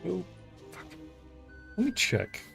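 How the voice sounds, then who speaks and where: A man's voice: slow, fairly bright tone. A man streaming a video game, casually commenting and reading dialogue aloud over game music.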